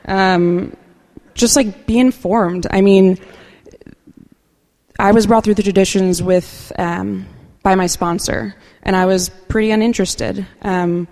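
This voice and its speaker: Soft-spoken woman in a reverberant hall. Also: mid-range voice, fairly even intonation. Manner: soft, unhurried, with many fillers. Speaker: speaker at a recovery meeting. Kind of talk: personal share at a meeting.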